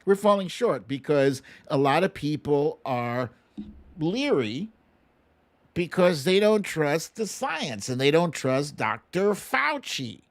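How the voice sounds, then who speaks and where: A man's voice: fairly high pitch, big pitch swings, slow. An American man hosting a live online talk show, chatting casually with viewers in an opinionated, emphatic, conversational way. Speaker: host of a livestream talk show.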